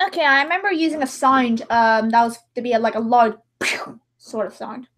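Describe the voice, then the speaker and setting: A woman's voice: fairly high pitch, a little fast, fairly bright tone. A woman chatting casually as a livestream host while editing, rambling and theatrical. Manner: rambling, theatrical, with sudden mock-dramatic outbursts. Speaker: livestream host and amateur voice-over editor.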